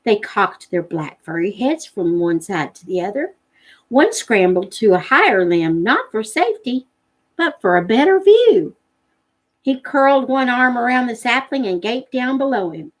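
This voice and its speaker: A woman's voice: fairly high pitch, very animated, unhurried. A woman narrating a storybook in a live read-aloud, as the host of a read-along.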